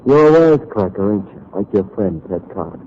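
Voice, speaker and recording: A man's voice: fairly low pitch, very animated, a little fast. An actor playing a menacing, taunting gangster henchman with uneducated tough-guy talk in a 1940s radio crime drama. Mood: taunting menace. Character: menacing, taunting male gangster henchman, slow-witted thug. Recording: muffled, hissy 1940s radio recording.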